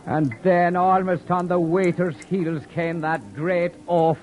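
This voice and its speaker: A man acting a proud, sharp-tongued young wanderer who narrates his own tale in a 1940s radio drama, with a wry, sardonic telling. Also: high-pitched, fairly even intonation, slow. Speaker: narrator and lead character. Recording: old radio broadcast with faint music.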